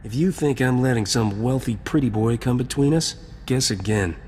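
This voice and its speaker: A man's voice: medium pitch, normal speed, darkish tone, slight background noise, close to an everyday voice. A man playing a suave, confident, flirtatious space smuggler in a video game cutscene. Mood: possessive, cocky. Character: cocky, romantic roguish male space smuggler.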